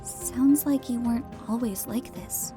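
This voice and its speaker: A woman acting a young captive girl with a fairly high voice. She is defiant and casual, with blunt sarcastic phrasing, in a game cutscene parody with music behind her. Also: measured pace, natural voice. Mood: curious, sympathetic. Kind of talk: scripted animated game parody dialogue.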